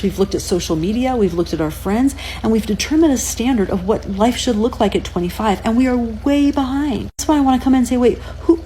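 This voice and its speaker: Warm-voiced woman coaching with questions. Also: medium pitch, a little hiss or hum, low-quality recording. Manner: earnest, coaching with rhetorical questions. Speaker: relationship advice creator. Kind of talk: casual advice talk.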